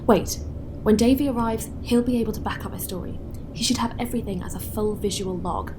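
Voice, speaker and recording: A woman's voice: mid-range voice, brisk, close to an everyday voice. Uneasy, deferential young-ranking runner played by a woman, noisy background. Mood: earnest, pleading. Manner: apologetic, hesitant, earnest. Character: deferential, uneasy female supply runner under suspicion. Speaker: runner reporting to a commandant. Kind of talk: science-fiction audio drama acting. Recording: clear background ambience noise.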